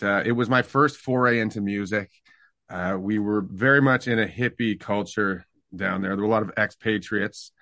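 A middle-aged man from Canada, a singer-songwriter, talking reflectively about his past in a relaxed interview, with fillers and restarts. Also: on the low side, no room sound, clean studio sound.

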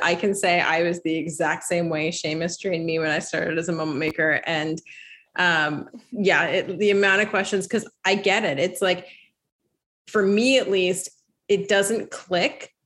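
A woman interviewing a colleague in a relaxed conversation, laughing along and reassuring her. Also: lowish voice. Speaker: interviewer, coworker. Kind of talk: casual conversation.

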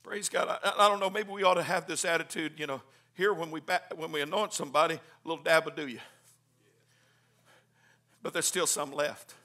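A man preaching a Pentecostal sermon, swinging from hushed, quick asides to shouted hallelujahs. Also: on the high side, fairly even intonation. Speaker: pastor. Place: church.

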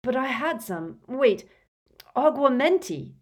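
A woman narrator plays a teenage boy wizard in a storybook, his voice lifted high and pushed with urgency. He sounds frightened and desperate, pleading in gasps. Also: sing-song, slow, full character voice. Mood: frantic, desperate. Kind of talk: audiobook character voice.

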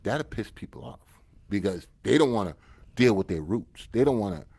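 Low-voiced man, rambling casual talk. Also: lively intonation, normal speed, creaky, a little mellow, breathy edge. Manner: rambling, repeats "you get what I'm saying". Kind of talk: casual conversation.

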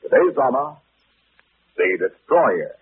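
A man narrating a radio drama in 1940s style, with dramatic, measured phrasing. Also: very high voice, wildly swooping pitch, creaky, heavy background noise. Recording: tinny 1940s radio recording with soft music.

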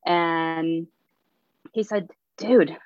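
A woman in her twenties, a guest author, telling her story in a relaxed way with frequent fillers and light laughs. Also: fairly low pitch, very slow, no room sound. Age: 20s. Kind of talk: casual storytelling. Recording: dull, lo-fi dry audio.

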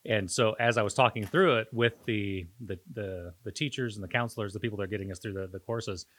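A man co-hosting a podcast in casual, reflective conversation, pausing and searching for his words as he talks. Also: on the low side, fairly bright tone. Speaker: podcast co-host.

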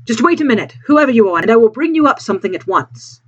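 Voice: mid-range voice, big pitch swings, fast talker, breathy edge, muffled recording, pitched above the performer's own voice. A narrator voicing a hearty, blunt but kindly sailor man who talks in plain colloquial speech. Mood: contrite, hearty.

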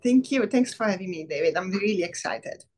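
A middle-aged woman from Rome with an Italian accent, a professor speaking in a casual interview, thoughtful with many pauses. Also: mid-range voice, expressive, a little hiss or hum. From Rome, Italy. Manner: warm, thoughtful, many "um" pauses, laughs easily. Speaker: biology professor, guest.

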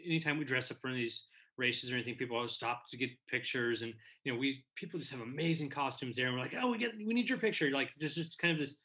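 A male interviewer with a bright, forceful tone, his voice at a middle pitch. He eases the guest along with friendly, encouraging questions and quick affirmations. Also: expressive. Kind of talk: casual interview.

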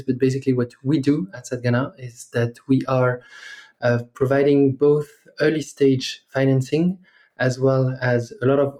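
A man in his thirties from France with a French accent, a podcast guest speaking in a measured way with many fillers and pauses. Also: medium pitch, dark tone. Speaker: guest, startup investor. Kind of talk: podcast interview.

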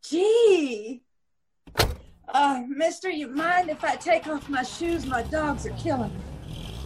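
A woman's voice: high-pitched, expressive, slow, noisy background. A woman playing a chatty, slangy young female hitchhiker in an old-time radio drama. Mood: chatty, relieved. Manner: slangy, folksy, exclaiming. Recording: video call recording.

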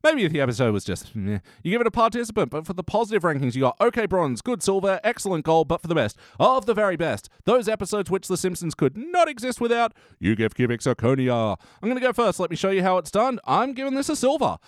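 A man hosting a podcast with casual, animated review banter and a little mild swearing. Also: high voice, fast, hardly any pauses, no room sound, clean studio sound. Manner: animated, conversational, mildly sweary. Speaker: podcast host.

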